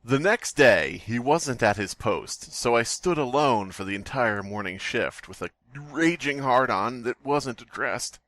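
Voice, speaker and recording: A man's voice: medium pitch, animated, measured pace, few pauses, fairly bright tone. A man co-hosting a podcast, joking around and reading stories aloud in a wry, mock-outraged manner. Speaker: podcast co-host.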